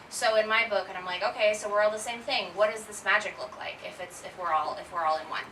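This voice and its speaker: A woman's voice: mid-range voice, bright, forceful tone, a little hiss or hum. A woman born in Ecuador and raised in Queens, speaking as an author on a panel, chatty and thinking aloud. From Ecuador, raised in Queens, New York. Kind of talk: casual panel talk. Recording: echoey room, from a distance.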